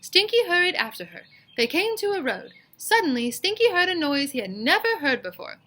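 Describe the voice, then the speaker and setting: A woman's voice: very high-pitched, sing-song, fairly quick, bright, forceful tone, voice pushed up, heavily acted. A woman voicing a kindly, encouraging female schoolteacher in a children's storybook. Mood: storytelling. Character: kindly female schoolteacher reading to her class.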